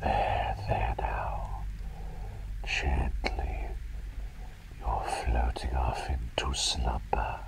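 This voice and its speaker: A man voicing an eccentric, doting plague doctor in a breathy, hesitant, soothing whisper for an ASMR roleplay. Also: extremely low pitch, fairly even intonation, very slow, long pauses, exaggerated voice, low-quality recording. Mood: soothing, tender. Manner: hesitant, muttering, gently soothing and coaxing.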